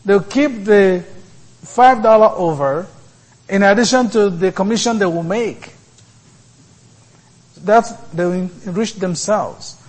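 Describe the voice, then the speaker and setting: A man giving a sermon as a priest, speaking in short, deliberate phrases with many pauses. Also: high voice, low-quality recording.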